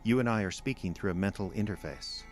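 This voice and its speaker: An actor in an audio drama playing a condescending alien invader in human guise, speaking in a measured, lecturing manner. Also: male voice, on the low side, soft background music, lightly acted. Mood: coolly menacing.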